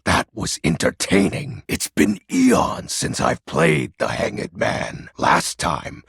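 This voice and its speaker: An actor playing a grandiose, nerdy, wistful self-styled dark overlord in a video game, with a husky, gravelly voice and overdramatic, archaic phrasing. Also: male voice, deep voice, very animated, slow pace, no echo, studio quality, exaggerated voice. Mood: nostalgic, proud. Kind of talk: video game character acting.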